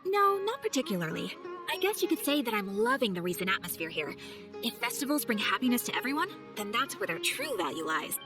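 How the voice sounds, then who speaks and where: An actress playing a friendly, quietly wise receptionist in a video game, reflective and measured. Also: female voice, a little high, sing-song, music in the background. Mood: content. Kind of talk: video game character voice acting.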